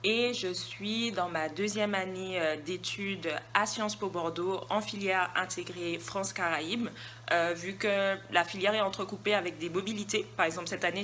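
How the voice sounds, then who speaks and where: A woman's voice: lowish voice, bright, forceful tone. A young woman from Guadeloupe, a student intern, giving an informal video testimonial. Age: young adult. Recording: noisy self-recorded video with background ambience.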